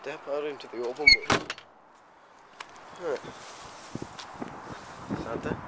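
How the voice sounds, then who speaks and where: A man's voice: fairly high pitch, slow. A teenage male amateur vlogger talking casually and hesitantly in a meandering, offhand way while walking. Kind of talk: casual rambling walking vlog. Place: outdoors on a residential street, walking. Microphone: handheld camera microphone. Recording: handheld camera outdoors, loud street noise.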